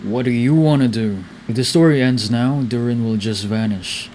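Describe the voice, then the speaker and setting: A man's voice: medium pitch, moderate pace, a little mellow, a little hiss or hum. A young man giving casual, laid-back game playthrough commentary in a musing way. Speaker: game streamer.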